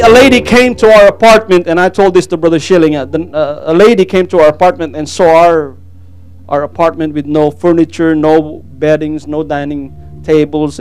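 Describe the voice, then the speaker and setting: A man's voice: fairly high pitch, poor audio. A middle-aged Filipino man whose first language is Tagalog, preaching a sermon and sharing his testimony, with many amens and praise Gods. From Philippines. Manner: frequent amens and praise God, earnest. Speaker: pastor.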